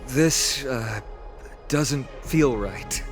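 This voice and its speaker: An actor playing a kind, earnest young hero with a gentle, hesitant, polite manner in a video game. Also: male voice, medium pitch, very slow, faint music behind. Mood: hesitant tact.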